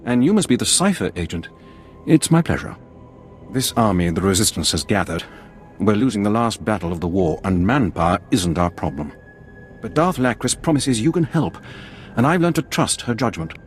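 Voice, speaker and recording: Seasoned male Imperial colonel for a game: lowish pitch, brisk military formality, dutiful. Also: sing-song. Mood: businesslike. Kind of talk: dramatic video game acting. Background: background music.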